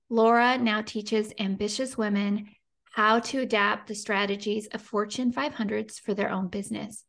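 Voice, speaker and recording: Gravelly-edged woman hosting a podcast. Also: medium pitch. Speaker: podcast host. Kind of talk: podcast introduction and interview.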